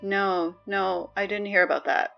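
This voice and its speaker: A woman playing a weary, sardonic high school morning announcer in a scripted audio drama. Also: a little slow, gravelly, highs cut off, faint music behind. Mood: uneasy. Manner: sardonic, deadpan, exasperated asides.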